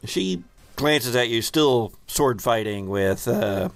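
A man narrating a tabletop roleplaying game as game master, in a halting, deliberate storytelling manner. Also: mid-range voice, dry recording.

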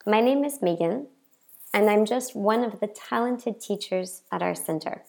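A woman from the American South with a Southern accent, introducing herself as a language teacher in a friendly, measured podcast talk. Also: medium pitch, expressive, vocal fry, darkish tone. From Southern United States. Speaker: English teacher. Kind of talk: scripted podcast self-introduction.

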